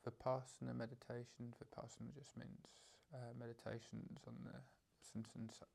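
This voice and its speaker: A man teaches a guided meditation in a dark, relaxed voice with plenty of creak. He feels his way through the phrases unscripted, leaving gentle pauses between them. The pitch sits in a middling male register and stays fairly even.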